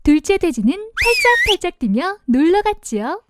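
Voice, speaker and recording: High, animated woman storyteller. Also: normal speed, noisy background. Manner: expressive, simple storytelling for young children. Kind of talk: children's storybook narration.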